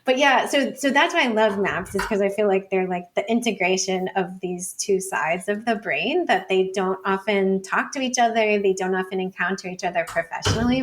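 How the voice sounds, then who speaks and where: A woman guest on an interview show, a professor explaining her field thoughtfully in loose, conversational phrasing. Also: mid-range voice. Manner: thoughtful, hedging with "like" and "sort of". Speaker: guest, professor of urban studies and planning.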